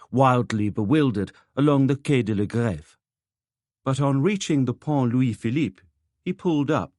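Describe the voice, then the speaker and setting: Low, dry-sounding male narrator. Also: no echo. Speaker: audiobook narrator. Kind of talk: scripted literary narration.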